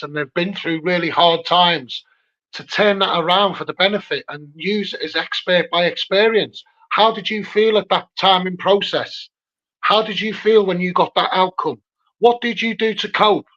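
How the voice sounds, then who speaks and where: A man's voice: sits high, sharp tone. A man with a Liverpool accent chatting as an interviewer, earnest and emphatic, repeating key phrases, heard through muffled lo-fi audio. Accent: Liverpool (Scouse). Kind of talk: casual advocacy chat.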